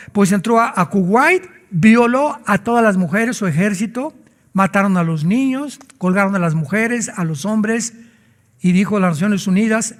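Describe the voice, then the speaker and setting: A man's voice: high-pitched, in a large hall. A man preaching a sermon as a pastor, emphatic and full of rhetorical questions.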